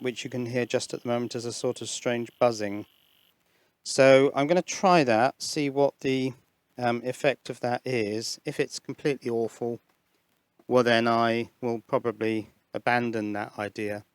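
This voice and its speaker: A man's voice: medium pitch, fairly even intonation, moderate pace, clean tone, no room sound. A man giving an informal hands-on electronics demonstration on a headset mic, musing and hesitant as he thinks aloud. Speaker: host of an electronics hobby channel. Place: indoors at an electronics workbench. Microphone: headset microphone.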